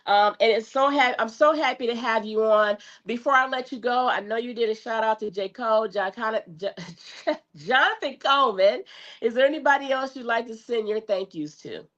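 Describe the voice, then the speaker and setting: A woman hosting a live talk show interview, friendly and quick to laugh, sounding stuffy from a cold. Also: a little high, a bit bright. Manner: friendly, laughs often, effusive thanks. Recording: dull lo-fi stream audio.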